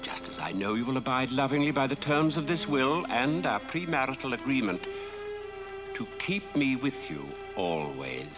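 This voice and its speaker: An actor playing a smug, wealthy dead husband who reads out his will in a theatrical, tender, drawn-out way. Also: mid-range voice, sing-song, muffled recording, music in the background, lightly acted. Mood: tender, sly. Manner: theatrical, savouring, drawn-out delivery. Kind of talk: film drama monologue.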